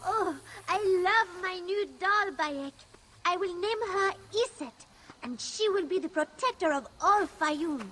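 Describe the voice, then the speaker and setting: A woman's voice: very high-pitched, lively intonation, measured pace, bright, forceful tone, slight background noise, heavily acted. A female performer playing an eager, fearless, imaginative little Egyptian girl in a video game cutscene. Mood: delighted. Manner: eager, innocent.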